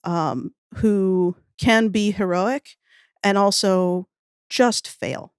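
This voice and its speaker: A woman's voice: medium pitch, very slow, smooth, dry recording, studio quality. A woman in her thirties chatting as a podcast guest in a thoughtful, wry, nerdily enthusiastic way. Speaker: podcast guest, audio fiction writer. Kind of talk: casual interview conversation.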